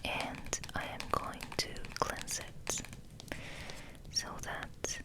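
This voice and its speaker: A woman hosting ASMR in a soft, gentle whispered ramble, hushed and unhurried, sometimes softly repeating words. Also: low-quality recording. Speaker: ASMR host.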